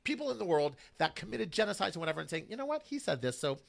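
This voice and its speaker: A man's voice: high voice, big pitch swings, racing, creaky. A man speaking as a guest on a radio talk show, arguing passionately and repeating phrases for emphasis.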